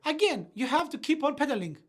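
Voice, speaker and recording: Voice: sits high, very animated, fast talker. A speaker giving an earnest motivational talk as a voice-over interpreter, with hesitant fillers and restarts.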